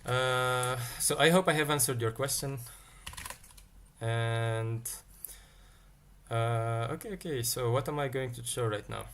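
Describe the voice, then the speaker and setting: A man's voice: mid-range voice, slow, a bit bright, a little hiss or hum. A man leads a live-streamed coding lesson in a mid-range voice, thinking aloud as he goes. He stops to pick up viewers' questions from the chat. Faint keyboard typing can be heard behind him. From Tunisia. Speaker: livestream tech teacher.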